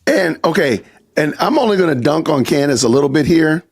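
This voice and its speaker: A man's voice: on the high side, expressive, fairly quick, gravelly, breathy edge. A man hosting a live stream podcast, talking casually to his chat and repeating rhetorical questions. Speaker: podcast host.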